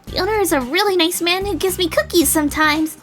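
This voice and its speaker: Lady putting on a excitable young girl for a visual novel, super high and bubbly, chatty. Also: quick pace, soft background music. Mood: excited. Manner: gushing, bubbly, eager.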